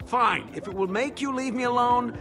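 An actor playing a nervous, evasive innkeeper in a video game, fussy, stammering and defensive. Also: male voice, very high-pitched, normal speed. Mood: reluctant. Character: nervous, shifty, evasive male innkeeper with secrets. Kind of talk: animated game voice acting. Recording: loud music behind the dialogue.

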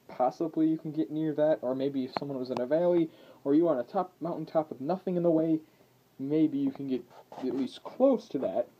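Man, average pitch, hesitant amateur demo talk, indoor handheld camera sound, muffled and faintly noisy. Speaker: amateur video maker. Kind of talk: casual, rambling product demonstration. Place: indoors, at a wooden table. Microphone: handheld camera's built-in microphone. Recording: dull lo-fi camera audio with noise and clicks.

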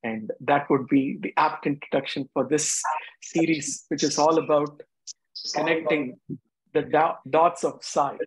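A man's voice: on the high side, steady tone, a little slow, husky, no echo, low-quality recording. A man with an Indian accent hosting and introducing guests at an online gathering. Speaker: host.

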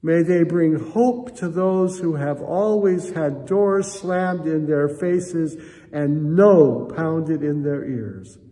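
An older American man, a pastor, speaking solemnly and earnestly in measured phrases as he leads prayer and appeals to a congregation. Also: on the high side, mellow. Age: 60s or older. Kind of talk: church prayer and offering appeal. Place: church sanctuary, indoors. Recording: reverberant church hall echo.

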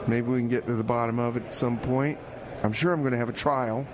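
A man's voice: medium pitch, fairly even intonation, fast talker, lightly acted. A man playing an anxious, eager radio DJ in a comedy radio skit, rambling hesitantly and repeating words. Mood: sheepish, rambling. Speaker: radio show host. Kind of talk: improvised comedy radio theater and music DJ chat. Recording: dull lo-fi sound, loud background noise.

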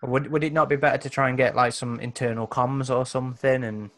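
A man's voice: medium pitch, fairly even intonation, fairly quick, a bit bright. A man chatting casually as a player in an online tabletop role-playing game on a video call. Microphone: group video call.

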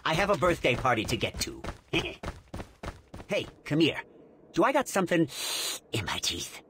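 An actor voicing an unhinged, mocking devil jester clown soldier in a video game, with a theatrical, manic delivery. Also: male voice, on the high side, sing-song, moderate pace, a little hiss or hum. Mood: mocking, playful. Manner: theatrical, swooping from mutters to shrieks. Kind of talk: video game character voice lines. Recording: loud gunfire over game audio. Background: gunshots, clicks and game sound effects.

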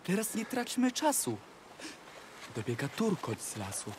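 A man's voice: sits high, huge pitch swings, unhurried, a little hiss or hum, heavily acted. A man playing a scheming, bossy talking cat in a rhymed fairy-tale radio play, declaiming urgently. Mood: urgent, commanding. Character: bossy, scheming, theatrical talking tomcat in boots.

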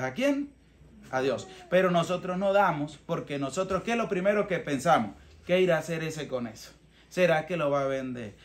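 A man in his thirties with a Venezuelan accent, a priest giving a plain, colloquial homily in an emphatic, teaching manner with rhetorical questions. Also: high voice. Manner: emphatic, didactic, asks questions and answers them himself. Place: small rustic wooden room or shelter. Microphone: clip-on lapel mic.

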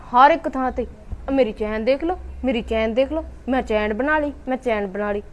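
A woman with a Punjabi accent playing a jealous, resentful, sharp-tongued younger daughter-in-law in a family drama. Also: high-pitched, brisk. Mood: mocking, scheming. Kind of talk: colloquial domestic drama acting.

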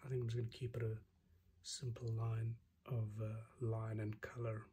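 A man's voice: on the low side, monotone, moderate pace, mellow, slight background noise. A middle-aged man chatting casually as he hosts an art demo, musing aloud in an understated way. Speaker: artist and channel host giving a drawing demo. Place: living room at home, indoors.

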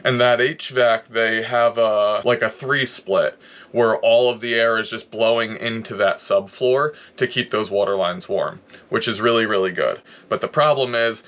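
A man's voice: fairly low pitch, highs cut off. Laid-back bearded man filming himself outdoors. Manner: relaxed, practical, talks straight to camera. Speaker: vlogger. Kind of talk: casual explaining how-to vlog. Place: outdoors on a camper roof in woods. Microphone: camera held at arm's length.

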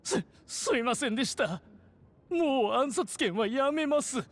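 A cowardly male thief whose pitch swoops widely. He is theatrical and slippery, able to puff himself up pompously or shrink into meekness, in game cutscene style. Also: lively intonation, unhurried, full character voice. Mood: grovelling. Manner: theatrical, swinging from pompous to meek. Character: shifty cowardly male pickpocket, boastful then grovelling. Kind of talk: video game cutscene acting.

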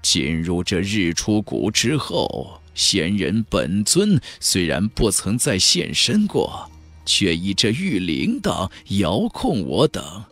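An ancient clan elder from a fantasy audiobook, acted by a man. He is low and unhurried, reverent and sorrowful. He recalls the past in archaic words, as if lost in a dream, over soft music. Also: no echo, heavily acted. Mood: solemn. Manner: slow, dreamy, archaic storytelling. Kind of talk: audiobook character voice in a fantasy novel.

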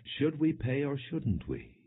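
A man's voice: medium pitch, very animated, slow, slightly rough, voice pushed up, exaggerated voice. A man reading the lines of formal, probing male religious officials in a scripture audiobook, with an insistent questioning manner. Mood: flattering, probing. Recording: muffled phone-like lo-fi audio.

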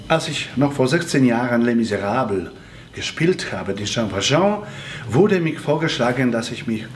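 A man in his forties from Poland, a native Polish speaker with a Polish accent, answering fan questions in a seated video interview in careful, slightly halting phrasing. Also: mid-range voice, a little hiss or hum. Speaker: musical theatre actor answering fan questions. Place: small room with red curtain and patterned wallpaper, indoors.

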